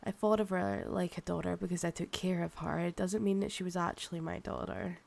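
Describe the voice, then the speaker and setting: A woman's voice: lowish voice, steady tone, creaky, darkish tone. A woman with a Scottish accent playing a possessive, proud, seductive ancient vampire in an intimate ASMR roleplay, teasing and condescending. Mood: intimate, reassuring. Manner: slow, teasing, condescending, calls listener darling.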